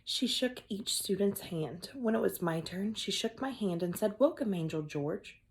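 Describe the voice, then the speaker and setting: A woman teacher reading a children's chapter book aloud, with expressive storybook delivery. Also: medium pitch, normal speed, rough recording.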